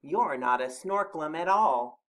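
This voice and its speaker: A man voicing a teasing, doubting storybook badger with a deliberate, challenging manner. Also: fairly high pitch, animated, fairly bright tone, voice pushed up.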